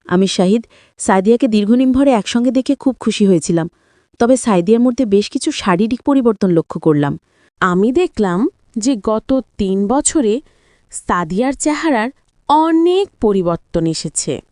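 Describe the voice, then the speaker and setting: A woman's voice: fairly high pitch, lively intonation, normal speed, mellow, no echo. Woman narrating a story, close dry sound. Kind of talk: scripted first-person story narration.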